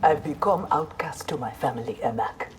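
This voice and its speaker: Woman playing a low-voiced, proud armored ninja woman. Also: normal speed, a little hiss or hum, close to an everyday voice. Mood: defiant, resolute. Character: proud, principled young female ninja warrior in high-tech armor. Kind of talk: dramatic fighting game dialogue.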